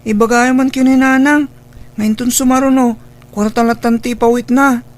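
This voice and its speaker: A woman's voice: on the high side, fairly even intonation, moderate pace, darkish tone, natural voice. A woman playing a demanding, petulant schoolboy son in a radio drama, with a Filipino accent. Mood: sulky, demanding. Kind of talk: Ilocano radio drama acting.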